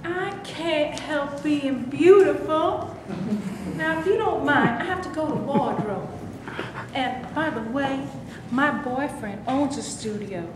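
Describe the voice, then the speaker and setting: Female reader as a snooty young starlet who flaunts her looks; a high voice in a reverberant hall with noise behind. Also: takes it slowly. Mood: haughty. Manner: haughty, taunting. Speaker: actor in a staged reading. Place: stage before a live audience. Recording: echoey hall, clear background noise.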